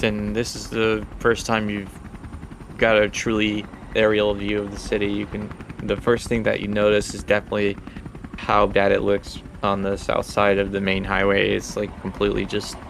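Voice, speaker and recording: Low, gravelly male game master. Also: a bit bright, slight background noise. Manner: halting, descriptive. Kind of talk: improvised tabletop game narration.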